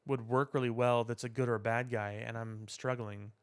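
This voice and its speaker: A man's voice: mid-range voice, fairly even intonation, moderate pace, clear, resonant tone. A man co-hosting a podcast, explaining his opinions in casual, opinionated talk about superhero films. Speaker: podcast co-host.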